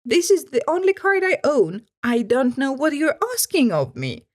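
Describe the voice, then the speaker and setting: A woman's voice: high-pitched, huge pitch swings, normal speed, full character voice. Woman doing a stubborn elderly woman customer. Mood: insistent. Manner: insistent, accusing.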